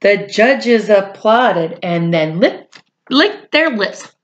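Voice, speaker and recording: A middle-aged woman reads a children's storybook aloud at a very slow pace. Her pitch swings in a lively, expressive way, and she breaks off for chatty asides to the kids. The sound is slightly dull, like a webcam in a room at home. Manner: expressive, drawn-out delivery addressed to young children. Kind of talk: animated picture-book read-aloud with chatty asides. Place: small indoor room at home.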